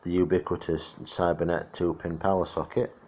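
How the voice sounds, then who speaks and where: A man's voice: sits low, level tone, normal speed, mellow, a little hiss or hum. A middle-aged man gives a casual, hands-on restoration commentary in a chatty, rambling, dryly jokey way. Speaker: radio restorer presenting a demonstration.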